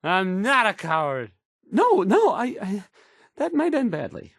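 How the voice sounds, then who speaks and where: A man's voice: sits high, wildly swooping pitch, a little slow, creaky, a bit bright, no echo, clean studio sound, heavily acted. An actor playing a timid, awkward young male radio DJ in a video game, stammering, hesitant and apologetic. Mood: anxious, cornered. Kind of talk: post-apocalyptic video game voice acting.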